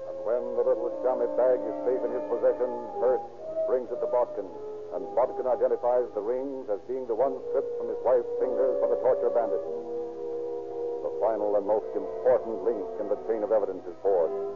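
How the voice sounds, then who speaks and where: Formal American radio narrator, tinny old recording. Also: male voice, medium pitch, fairly even intonation, brisk, loud music behind the voice. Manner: formal, dramatic. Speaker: narrator and announcer. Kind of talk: scripted 1930s radio narration.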